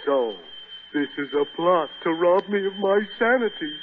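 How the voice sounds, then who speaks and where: A man's voice: high-pitched, animated, normal speed. A man playing a pompous, food-craving cartoon moocher in 1930s radio style, florid and theatrical. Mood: wounded, indignant. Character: pompous, pleading, hamburger-craving cartoon glutton. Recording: tinny, hissy 1930s radio recording.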